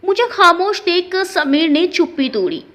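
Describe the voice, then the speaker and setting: A woman narrating an emotional first-person story in an expressive, dramatic way. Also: very high-pitched, normal speed.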